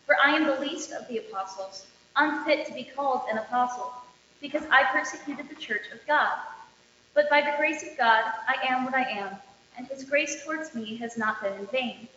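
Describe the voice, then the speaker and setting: A woman reading scripture aloud as a lector, measured and declarative, with emphatic openings to phrases. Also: sits high, sharp tone. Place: large reverberant indoor space. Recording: echoey reverberant hall, dull, faint noise.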